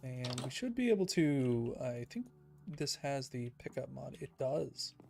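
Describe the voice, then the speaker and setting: A young man streaming a building game, talking calmly and evenly in a soft, soothing voice he calls monotone. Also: medium pitch, unhurried, gravelly, faint music behind. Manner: calm, soft-spoken, self-described monotone. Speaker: Minecraft streamer. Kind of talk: casual livestream chat while building in a game.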